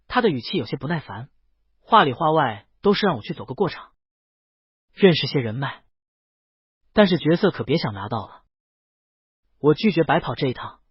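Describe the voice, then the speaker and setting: A man's voice: a little high, slightly rough, no room sound, dull audio. A man narrating a scripted first-person story as an audiobook.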